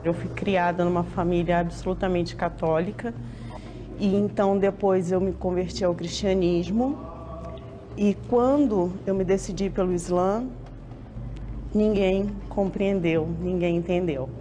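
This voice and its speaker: A woman recounting her conversion calmly as an interviewee. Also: on the low side, a little slow, noisy background. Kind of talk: recorded testimony.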